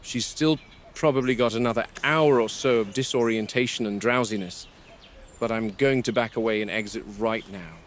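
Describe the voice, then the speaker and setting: An actor playing a gruff, wary game warden, speaking in a measured, sardonic way as if recording a log for a found-footage horror film. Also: male voice, medium pitch, slight background noise, lightly acted. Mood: wary, grim.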